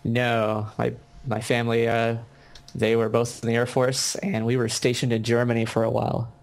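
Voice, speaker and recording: A man's voice: medium pitch, completely flat delivery, normal speed. A young American man, a video game designer, chatting casually in an interview with many ums and restarts. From United States.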